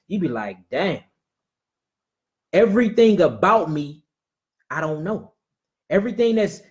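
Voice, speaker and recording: High-voiced preacher man, rhetorical and blunt. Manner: rhetorical questions, repeated refrains, blunt slang. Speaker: street preacher. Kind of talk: impassioned preaching to a crowd.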